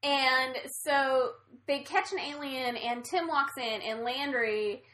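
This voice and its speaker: A woman's voice: high voice, fairly even intonation, slow pace, sharp tone. A young woman chatting casually about a movie with a friend, bubbly and full of fillers. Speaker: co-host of a movie chat. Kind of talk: casual chat. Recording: echoey room.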